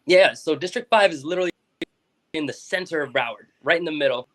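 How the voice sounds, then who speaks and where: A man's voice: fairly high pitch, fast, fairly bright tone. A young man from South Florida, a school board member as guest, talking casually with many restarts and fillers. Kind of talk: casual interview talk.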